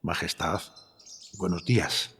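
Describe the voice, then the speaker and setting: A man's voice: deep voice, slow, vocal fry, bright, forceful tone, slight background noise, full character voice. A man playing a polite but provocative journalist in a dramatised historical interview, hesitant as he probes. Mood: probing. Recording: long hall reverb.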